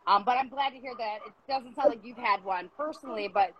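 A woman's voice: fairly high pitch, a little fast, lo-fi sound, a little hiss or hum. A woman hosting a casual arts interview, talking in a rambling, reflective and self-deprecating way. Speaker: host of an arts interview show.

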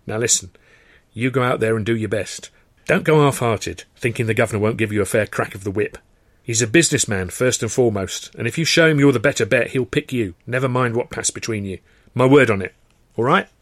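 A man reads as a serious, steadying music-hall manager in an audiobook. He speaks firmly and encouragingly, in a medium-pitched voice with a very dry sound. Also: a little fast, natural voice. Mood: serious, reassuring.

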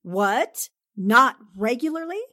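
A woman acts out a self-assured lady columnist from a 1940s radio play. Her voice is fairly high and animated, with measured timing and a teasing, faintly exasperated edge. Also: exaggerated voice. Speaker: actor in an amateur radio drama re-creation.

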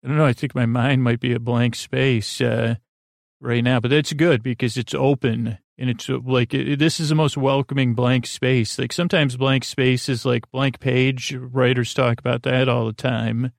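A man hosting a sleep podcast in a soothing, creaky voice, rambling through tangents with many fillers and restarts. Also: mid-range voice, level tone, normal speed, no room sound, studio quality. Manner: meandering, full of fillers and restarts. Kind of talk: rambling bedtime monologue.

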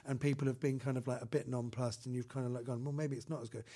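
A man co-hosting a comedy podcast, talking casually and enthusiastically about films. He rambles, restarts his sentences and piles up fillers. His voice sits at an ordinary middle pitch. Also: flat delivery, fast talker, dark tone, husky. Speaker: podcast co-host.

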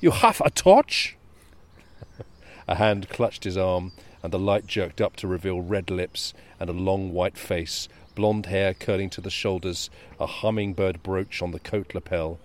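A man co-hosting a podcast, reading a novel passage aloud in a measured way, then chatting casually. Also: low voice, flat delivery, darkish tone. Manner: measured storyteller, chatty and quick in talk. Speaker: podcast co-host.

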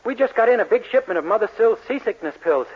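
High-voiced, fast-talking naive young fellow. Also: male voice, animated, background noise. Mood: earnest. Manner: earnest, folksy. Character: naive, earnest young soda jerk, easily riled. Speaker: sitcom lead. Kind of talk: 1940s radio comedy acting. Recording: muffled vintage 1940s radio recording.

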